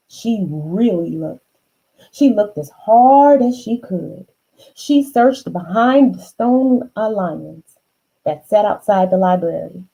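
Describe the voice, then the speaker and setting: A woman reading a children's storybook aloud, unhurried, with dramatic emphasis and chatty asides to the listener. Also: mid-range voice, dark tone, slightly rough, dull audio.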